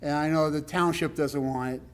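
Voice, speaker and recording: A man with a loud voice giving a rambling, emphatic public comment at a town meeting, with many fillers. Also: fairly high pitch, steady tone, normal speed, smooth. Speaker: resident speaking at public comment.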